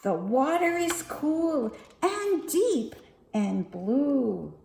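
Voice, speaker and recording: A woman teaching young children, reading a picture book aloud at home in a slow, gentle, sing-song way. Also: high voice, very animated, creaky, reverberant, slight background noise.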